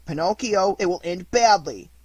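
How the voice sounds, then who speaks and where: A reader voicing a loud, taunting schoolboy bully in a storybook. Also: mid-range voice, animated, fairly quick, husky, no room sound.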